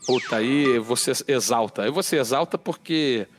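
A man with a Carioca accent, a podcast guest chatting in an animated, casual way and swearing often. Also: medium pitch, normal speed, soft background music. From Rio de Janeiro, Brazil. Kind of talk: casual chat.

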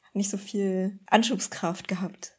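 A woman co-hosting a casual podcast, relaxed and low on energy. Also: a little slow, slightly rough. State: feeling cold. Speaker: podcast co-host.

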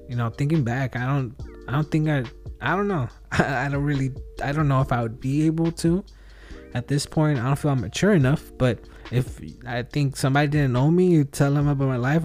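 Male host, thirties, mid-pitched voice, solo reflective monologue that rambles and second-guesses itself, faint music underneath. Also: darkish tone. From outside the US. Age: 30s. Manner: rambling, self-questioning, with frequent fillers and restarts. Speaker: podcast host.

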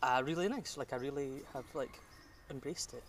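A man's voice: mid-range voice, normal speed. A Scottish man in his thirties talks casually while gardening and laughs easily. He sits a little far from the mic, with a faint hiss of outdoor ambience behind him. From Scotland. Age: 30s. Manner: chatty, laughs often, trailing fillers. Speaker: podcast guest.

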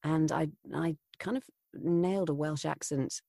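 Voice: mid-range voice, fairly even intonation, measured pace, gravelly, dark tone, dry recording, studio quality. A voiceover artist hosting a podcast, chatting casually and thoughtfully, with many fillers and restarts. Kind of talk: casual chat.

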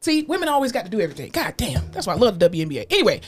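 A woman co-hosts a casual podcast, talking fast with a voice that swings up and down a lot. She is blunt and animated, cursing freely and mixing jokes into her rants. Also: medium pitch, breathy edge, soft background music. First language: English. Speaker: podcast co-host. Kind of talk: casual chat.

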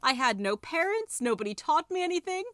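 A woman's voice: high voice, very animated, a little fast, a bit bright, no room sound, clean studio sound, pitched above the performer's own voice. Woman voicing a wailing lonely tiger skin. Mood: plaintive. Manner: plaintive, wailing. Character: mournful talking tiger skin, male, lonely.

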